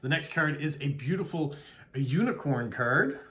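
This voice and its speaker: A man's voice: on the high side, animated, unhurried. Middle-aged Canadian man, casual unboxing vlogger, chatty, filler-heavy delivery. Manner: chatty, warm thanks, frequent "uh" fillers. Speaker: postcard vlogger and mail carrier.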